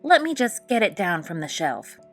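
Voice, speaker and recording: Woman reader doing a polite firm lady librarian from a kids' storybook, kind but bossy, soft music behind. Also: mid-range voice, big pitch swings, normal speed. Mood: kindly. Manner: polite, orderly, instructing. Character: kindly but firm female head librarian.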